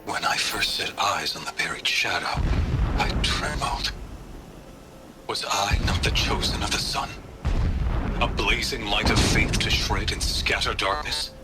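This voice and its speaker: A zealous, pitiless warrior for a video game audio log, in a fairly low voice. He speaks with solemn grandeur and archaic formality, proud as one chosen by the sun. Loud music plays throughout behind him. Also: animated, a little slow. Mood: solemn, fervent.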